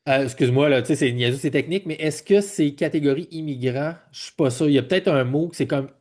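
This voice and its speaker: A man's voice: a little high, quick pace, a little mellow. A man in his forties from Quebec, with a Quebec French accent, asking casual, rambling interview questions as a podcast host and often rewording himself as he goes. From Quebec, Canada. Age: 40s. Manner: informal, rambling, self-correcting questions.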